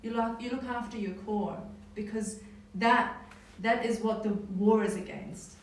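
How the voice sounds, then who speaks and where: A woman's voice: on the high side, fairly even intonation, unhurried. A woman with a New Zealand accent leading a casual Bible study, talking in a conversational way with frequent "right?" tags. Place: church hall. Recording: echoey hall, mic far off.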